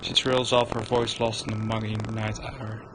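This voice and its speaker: Halting male narrator, noisy background. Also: level tone. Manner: halting, stumbling over written words. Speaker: streamer, narrator. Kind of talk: dramatic read-aloud narration of visual novel. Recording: noisy room with low rumble.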